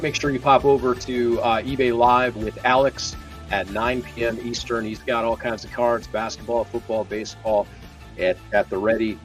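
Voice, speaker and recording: Mid-pitched man hosting over faint music. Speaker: co-host of a sports card live stream. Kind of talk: casual live-stream hosting.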